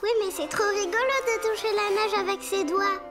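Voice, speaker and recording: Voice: very high voice, moderate pace. A performer voicing a little bear cub boy in a preschool cartoon, childlike, simple and prone to whining. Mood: delighted. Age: child character. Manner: childlike, simple, whiny when upset. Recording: music behind the voice.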